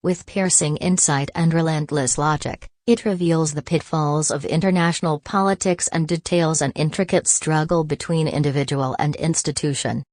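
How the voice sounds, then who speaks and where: A woman's voice: sits low, fairly even intonation, normal speed, hardly any pauses, a little mellow, dry recording, studio quality. A woman reading exam practice passages aloud in a scripted style. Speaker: reader of test practice texts.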